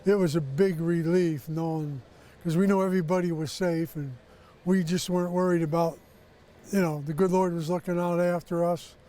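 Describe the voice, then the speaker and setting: An older man speaks casually as an interviewed homeowner. His tone is mellow and dark, while his pitch sits a bit higher than most men's. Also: steady tone, moderate pace, rough recording.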